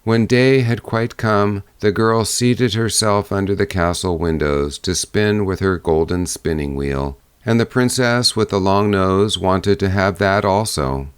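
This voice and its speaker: Male audiobook narrator for fairy tales, low register, measured storytelling, dry close sound. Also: clean tone, dry recording. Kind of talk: scripted fairy-tale narration.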